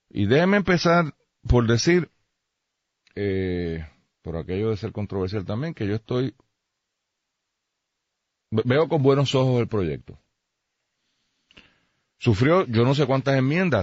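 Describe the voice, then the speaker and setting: A man's voice: lowish voice, no room sound. A man with a Puerto Rican accent giving blunt, emphatic political opinions as a radio host, with dull radio sound.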